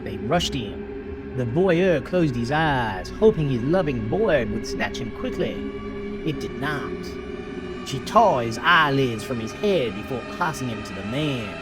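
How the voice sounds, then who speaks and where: A man narrating a horror story in a dramatic, theatrical style, with emphatic phrasing and deliberate pauses. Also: medium pitch, lively intonation. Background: music.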